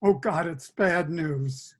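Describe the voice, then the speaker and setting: A man playing a bewildered, gullible patient, earnest and halting, in a comic play reading over a video call. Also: fairly high pitch, a bit bright. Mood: anxious, stunned.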